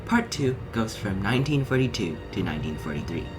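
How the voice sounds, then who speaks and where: A man's voice: on the low side, very animated, fairly quick, over music. A man reading a scripted educational narration in short, emphatic phrases. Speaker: audiobook narrator.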